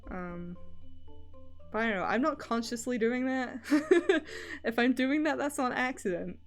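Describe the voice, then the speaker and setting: A woman's voice: fairly high pitch, lively intonation, unhurried, soft background music. A young woman from Scotland with a mixed Edinburgh and Canadian accent, chatting candidly and loosely as a streamer. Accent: mixed Edinburgh Scottish and Canadian. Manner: rambling and candid, with loose swearing. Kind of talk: casual stream chat.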